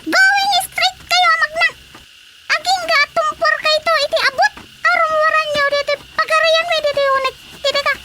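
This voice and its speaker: An actor voicing a tiny, bossy creature of an underground kingdom in radio comedy, with a squeaky, childlike voice and a commanding manner. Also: fairly even intonation, normal speed. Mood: instructing. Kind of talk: radio comedy drama acting. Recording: loud noise behind the voice.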